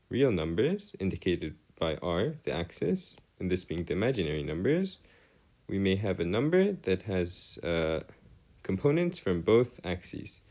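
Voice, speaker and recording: A man tutoring in an improvised explanatory lesson, with formal wording and halting, self-correcting delivery. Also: on the low side, darkish tone. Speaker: math tutor.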